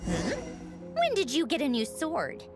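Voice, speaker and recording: A breezy woman engineer in a video game, with very animated pitch swings. She is teasing, theatrical and sure of her authority, with music playing behind her. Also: unhurried, heavily acted.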